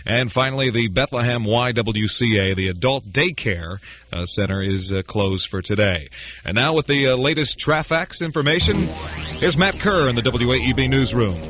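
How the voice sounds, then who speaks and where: A man's voice: mid-range voice, lively intonation, normal speed, fairly bright tone. 1980s AM radio morning man. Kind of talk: 1980s local AM radio announcing. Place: radio studio. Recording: radio aircheck with music behind.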